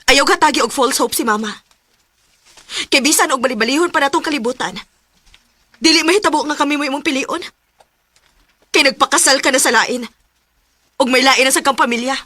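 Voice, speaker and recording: A woman's voice: high voice, fairly quick, long pauses, pushed and bright, no echo. A woman with a Filipino Cebuano accent playing a proud, protective and stubborn young daughter in a radio drama. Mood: indignant, firm. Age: young adult.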